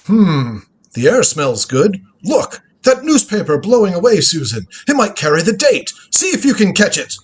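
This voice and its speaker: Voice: mid-range voice, sing-song, moderate pace, breathy edge. A performer playing an inquisitive old grandfather scientist who travels through time, musing and exclaiming theatrically in a script reading of a radio drama. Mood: musing, puzzled.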